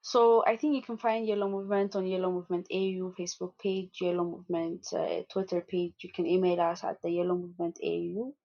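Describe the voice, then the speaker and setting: A woman's voice: on the low side, flat delivery. Hesitant young woman with muffled sound. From Ethiopia. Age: young adult. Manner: halting, many fillers and restarts. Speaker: student activist guest. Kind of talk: podcast interview answers.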